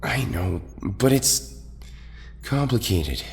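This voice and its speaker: A man playing an earnest, reserved young team leader in an audio drama, halting and understated. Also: on the low side, sing-song, creaky, darkish tone, exaggerated voice. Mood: sheepish. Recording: long hall reverb.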